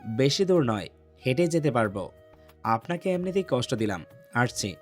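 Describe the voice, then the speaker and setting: A man acts a brooding young man in love, protective and intense, in an audio romance. His mid-pitched delivery is cool and restrained, with faint music behind it. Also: very animated, normal speed. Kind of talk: Bengali audio love story acting.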